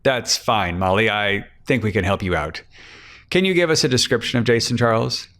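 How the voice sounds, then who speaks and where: A man's voice: fairly low pitch, expressive, moderate pace, a bit bright. A man voicing a weary, blunt private detective boss in a crime audiobook, terse and matter-of-fact. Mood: businesslike.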